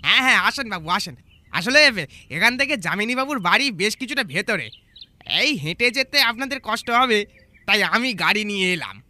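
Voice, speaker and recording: Village cart driver, played by a man: humble and eager to serve, very sharp high voice, audio drama. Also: expressive, moderate pace, no echo, exaggerated voice. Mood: eager, deferential. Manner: deferential, rustic servant talk.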